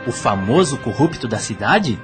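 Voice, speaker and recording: A man's voice: mid-range voice, very animated, normal speed, soft background music, natural voice. A man playing a bitter murdered Brazilian man who accuses with sarcasm, in an audio drama. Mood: scornful.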